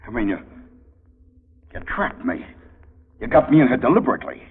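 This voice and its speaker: A man's voice: medium pitch, sing-song, brisk. An actor playing an agitated, blustering and frightened trapped man in a 1940s radio drama. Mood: blustering, alarmed. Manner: agitated, loud, exclamatory. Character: panicky trapped man, blustering then terrified. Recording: muffled, faintly noisy 1940s radio recording.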